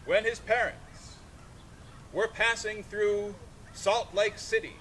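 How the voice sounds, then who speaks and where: A man's voice: steady tone, slow, fairly bright tone. A man giving a live literary reading outdoors, declaiming in short, broken phrases. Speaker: artist reading aloud and taking questions. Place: outdoors in a sculpture park. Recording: noisy outdoor recording with vehicle rumble.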